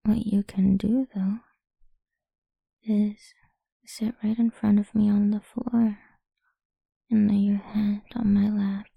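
A woman whispering a slow, doting and teasing ASMR roleplay in a breathy voice. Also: mid-range voice, flat delivery, warm tone, no room sound, rough recording.

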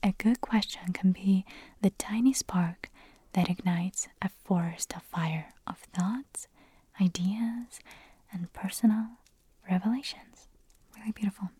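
A woman whispers in a slow, unhurried ASMR style, her voice raspy and breathy from nearly losing it. The sound stays soft and mellow rather than bright. She laces her talk with giggles and self-deprecating, chatty remarks. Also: mid-range voice, dry recording. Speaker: ASMR creator.

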